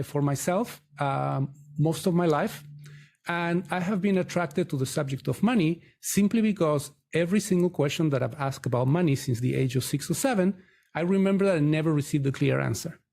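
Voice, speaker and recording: A man's voice: on the high side, darkish tone. A middle-aged man from Chile, a Spanish speaker, telling his story conversationally as an interview guest. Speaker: podcast guest, mortgage broker.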